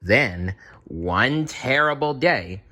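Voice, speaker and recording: A man reading a children's story aloud in an expressive, deliberate way, with casual, chatty asides. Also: medium pitch, a little hiss or hum. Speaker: storybook reader.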